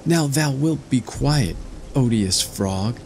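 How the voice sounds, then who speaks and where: A man's voice: medium pitch, expressive, slow pace, background noise, voice pushed up. A spoiled young fairy-tale princess, played by a man talks in a raised, plaintive voice. She sounds upset and reluctant. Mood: angry.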